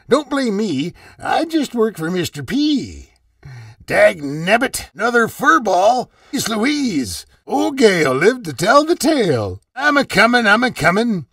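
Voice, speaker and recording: A gravelly, husky old-timer grumbles in a folksy drawl. His voice sits fairly high and swings about. It is recorded dry, a little back from the mic. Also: a bit bright, no echo, far from the mic, heavily acted. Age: older. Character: old grumpy male weather-maker, folksy. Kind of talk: game character voice lines.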